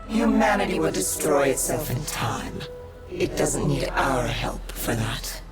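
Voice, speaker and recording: A woman's voice: low-pitched, very animated, unhurried, loud music behind the voice. A woman voicing a sly, bored demigoddess of deception in a video game, theatrical and mocking. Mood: mock innocence. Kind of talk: video game cutscene acting.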